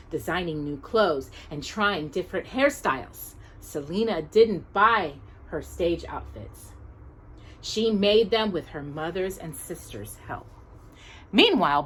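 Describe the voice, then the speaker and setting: A woman with a husky edge to her voice reads a children's story aloud. Her pitch moves up and down in a lively way, and she leans on key words with pauses, as a storytime host does. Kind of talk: expressive children's picture book read-aloud.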